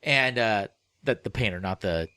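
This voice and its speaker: A man co-hosting a podcast, chatting casually about books in a rambling, halting way that often trails off mid-phrase. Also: lowish voice, lively intonation, bright, forceful tone, clear, resonant tone, no echo. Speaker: podcast co-host. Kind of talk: casual book-club chat.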